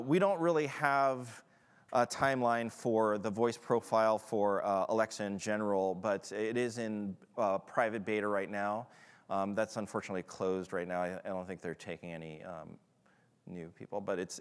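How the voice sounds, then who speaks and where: A man's voice: on the low side, steady tone, moderate pace, clear, resonant tone. A man answering audience questions at a tech conference, in a loose, casual manner with a lot of fillers and restarts. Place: large conference hall. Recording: long hall reverb.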